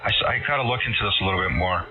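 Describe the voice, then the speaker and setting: A man chatting casually about technical matters over amateur radio, speaking in a halting, hesitant way through a shortwave transmission. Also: low-pitched. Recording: noisy narrow-band ham radio signal.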